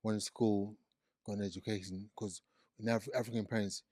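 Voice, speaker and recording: Deep-voiced man in his forties. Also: flat delivery, fairly quick, a little mellow, no room sound, studio quality. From Zimbabwe. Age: 40s. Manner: repeats words, frequent yeahs and restarts. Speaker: guest, psychiatric nurse practitioner. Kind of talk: casual interview conversation.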